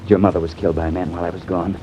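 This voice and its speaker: An actor playing a troubled, tender father in a vintage radio drama, speaking in a halting, pleading way. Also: sits low, little pitch movement, dark tone, natural voice. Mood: grave, hushed. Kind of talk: 1950s radio drama acting. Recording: muffled, noisy old radio recording.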